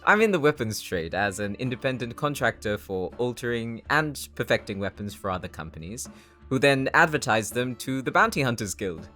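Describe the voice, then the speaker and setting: A man acts out a confident, businesslike male weapons dealer for an anime roleplay. His voice is bright and forceful, with animated pitch and formal, articulate phrasing. Faint music plays behind him. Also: moderate pace. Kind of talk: anime roleplay audio drama.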